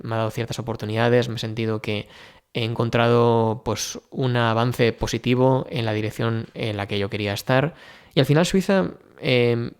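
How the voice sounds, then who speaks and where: A man's voice: medium pitch, clean tone. A man from Spain talking casually in a vlog, thinking aloud with many fillers and restarts. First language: Spanish. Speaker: vlogger. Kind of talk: casual personal vlog talk.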